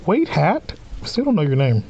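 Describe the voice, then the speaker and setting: A man's voice: fairly high pitch, huge pitch swings, moderate pace, a little mellow, slightly rough, dull audio, pitched above the performer's own voice, exaggerated voice. A man voicing a feisty, exasperated teenage girl from a visual novel, bossy and scolding. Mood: urgent.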